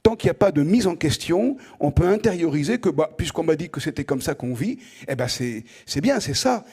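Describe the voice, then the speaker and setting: Husky-voiced French professor lecturing students. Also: male voice, fairly high pitch, big pitch swings, a little fast, darkish tone. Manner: conversational, asks the audience direct questions, repeats words as he thinks. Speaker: professor giving an opening lecture. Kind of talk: lively spoken lecture to students.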